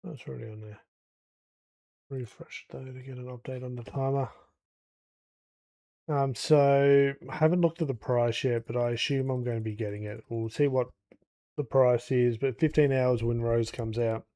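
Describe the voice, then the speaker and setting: A man's voice: medium pitch, fairly even intonation, moderate pace, dark tone. A tired, congested man chatting casually on a livestream, rambling and thinking aloud as he plays. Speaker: game streamer. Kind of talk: casual livestream chat over gameplay.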